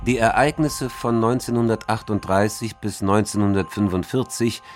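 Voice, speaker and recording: Low-voiced man solemnly reciting, faint music. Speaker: stage reader of a quoted speech.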